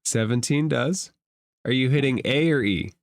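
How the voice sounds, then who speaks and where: A man's voice: mid-range voice, moderate pace, no echo, clean studio sound. A man acting as game master in a tabletop role-playing game, giving rulings in a matter-of-fact way.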